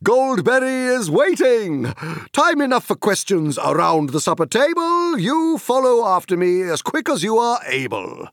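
A man's voice: high-pitched, sing-song, measured pace, a bit bright, dry recording, studio quality, pitched above the performer's own voice, exaggerated voice. A man voicing a boisterous, jolly old forest dweller who sings and bellows in a rustic sing-song dialect. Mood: booming jolly. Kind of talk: audiobook character voice.

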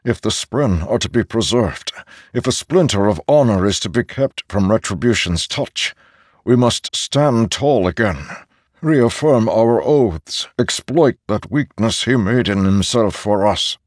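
A man voicing an aged, weary immortal herald in an audiobook, with a solemn, deliberate and strained delivery. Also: lowish voice, lively intonation, no room sound, clean studio sound, voice pushed up. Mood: urgent, resolute.

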